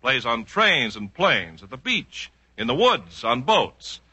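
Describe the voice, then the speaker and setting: Man, 1940s radio ad announcer, hugely animated pitch, forceful bright tone, emphatic selling. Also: slow pace, husky. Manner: punchy, emphatic sales delivery. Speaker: commercial announcer.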